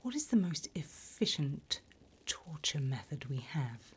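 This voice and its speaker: A woman playing a courteous, sinister demon interviewer in a slow, breathy whisper for an ASMR roleplay. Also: low voice, sing-song, a little hiss or hum. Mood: politely sinister. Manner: slow, deliberate, courteous, softly menacing. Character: infernal demon interviewer, politely sinister, delighted by wickedness.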